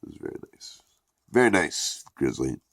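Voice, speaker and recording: A man's voice: rumbling low, very animated, normal speed, gravelly, dry recording, studio quality. A man with a stuffy, congested voice giving a profane, rambling, laid-back casual review. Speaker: product reviewer.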